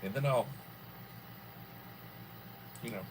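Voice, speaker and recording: A man's voice: medium pitch, slow pace, a little hiss or hum. Rambling man in his sixties, chatty host. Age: 60s. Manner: rambling, with many fillers. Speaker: livestream host. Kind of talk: casual livestream chat. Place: home.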